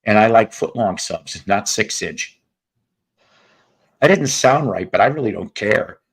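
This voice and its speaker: Raspy guy w a lowish voice, hosting a music chat show, goes off on tangents and cracks jokes. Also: animated, unhurried. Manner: rambling, joking, tangents. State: hoarse. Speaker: podcast host. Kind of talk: casual chat about music.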